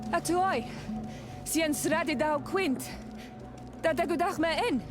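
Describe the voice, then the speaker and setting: An actress playing a proud, forthright young native chief's daughter in a video game, direct, insistent and formal. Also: female voice, extremely high pitch, slow pace. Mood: defiant. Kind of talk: dramatic video game acting. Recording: clear music behind the voice.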